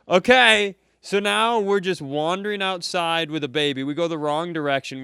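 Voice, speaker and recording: A man telling a comic rant at a live comedy club, acting out people in high shouty voices. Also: clean tone, no room sound, clean studio sound. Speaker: podcast co-host. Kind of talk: comedic storytelling.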